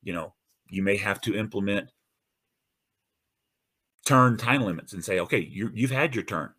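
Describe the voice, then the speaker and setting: A man's voice: moderate pace, bright, forceful tone, slightly rough. A man hosting his own podcast in a casual, earnest and encouraging monologue, often restarting his phrases. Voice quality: deep radio-style voice. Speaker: host.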